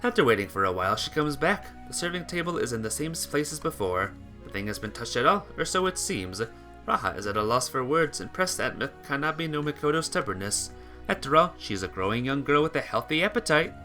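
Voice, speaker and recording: A man's voice: medium pitch, sing-song, fairly quick, bright, forceful tone. A man reading a scripted story aloud as narrator, with the occasional small stumble. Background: instrumental music.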